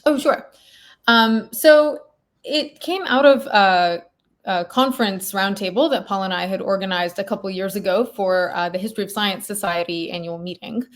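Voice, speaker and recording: A woman's voice: medium pitch, moderate pace. Thoughtful woman explaining research. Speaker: guest, historian. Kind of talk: conversational academic explanation.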